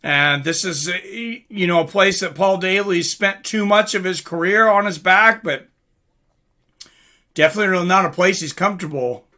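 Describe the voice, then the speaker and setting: A man's voice: sits high, moderate pace, pushed and bright. A man giving casual live fight commentary in an informal, conversational way, like a livestream host.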